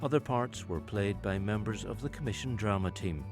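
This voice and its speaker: A man's voice: on the low side, normal speed. A man reading scripted radio announcements as a programme announcer. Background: music.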